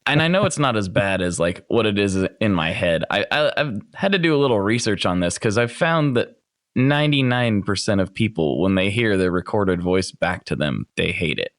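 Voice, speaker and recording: A man's voice: lowish voice, expressive, normal speed, a bit bright, no echo, clean studio sound. A man hosting a podcast, chatting in a friendly way with a radio announcer's voice. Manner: chatty, friendly, thinks aloud. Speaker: podcast host. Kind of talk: casual interview.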